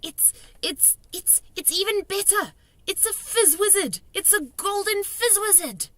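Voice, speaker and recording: A woman voicing a gentle, excitable old male giant who mangles his grammar and invents words. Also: high-pitched, moderate pace, no echo, pitched above the performer's own voice. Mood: excited. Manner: jumbled grammar, made-up words.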